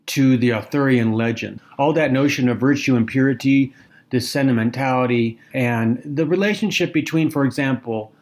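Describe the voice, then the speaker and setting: Man, mid-pitched voice, podcast co-host, thoughtful, casual book talk. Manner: thoughtful, reads quotes aloud. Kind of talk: casual literary discussion.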